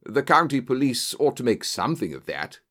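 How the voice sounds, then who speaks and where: A man's voice: medium pitch, very animated, fairly quick, a bit bright. A man voicing a keen, self-assured detective, thoughtful and precise, in an audiobook story. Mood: thoughtful, curious.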